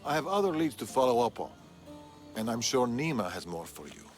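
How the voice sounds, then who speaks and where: An actor playing a guarded, cryptic veteran assassin in a video game drama. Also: male voice, medium pitch, big pitch swings, a little fast, soft background music, lightly acted. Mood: terse.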